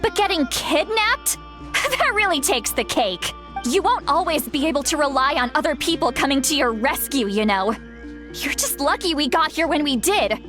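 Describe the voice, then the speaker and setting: A young-looking woman who acts as a strict elder guardian. Her voice is high and she scolds with exasperation in video game style. Light music sits behind her. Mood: exasperated scolding.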